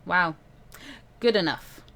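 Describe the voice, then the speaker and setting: A woman voicing a calm, stern older male mentor in a visual novel, measured and gently admonishing. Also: mid-range voice, pitched below the performer's own voice. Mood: matter-of-fact.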